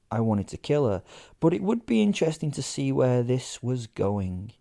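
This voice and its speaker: A man's voice: lowish voice, vocal fry, dark tone. A man narrating a first-person horror comedy in character, conversational and sweary, with a sardonic, theatrically indignant manner.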